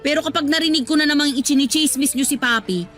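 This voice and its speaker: A woman's voice: high-pitched, fast, a bit bright. A woman playing a feisty, cheeky young adult, fiercely loyal to family, in a radio drama. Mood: defiant. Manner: sassy, teasing back-talk. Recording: music underneath.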